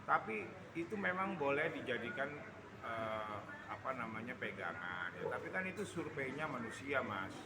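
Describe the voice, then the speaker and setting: A man's voice: mid-range voice, very animated, normal speed. A man from Java, explaining his views conversationally as an interview guest, often checking that the listener follows. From Java, Indonesia. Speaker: interview guest giving political opinion. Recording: roomy, echoey sound with background noise.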